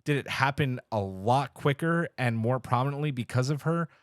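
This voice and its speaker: A man co-hosting a podcast in casual, heartfelt chat, telling stories emphatically and haltingly. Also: mid-range voice, no room sound, studio quality. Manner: emphatic, halting, enthusiastic storytelling with acted-out quotes. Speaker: podcast co-host.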